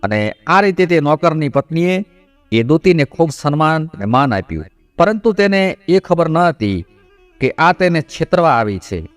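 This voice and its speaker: A man's voice: a little high, sing-song, normal speed, dry recording, faint music behind. A man narrating a scripted devotional moral folk tale as a storyteller.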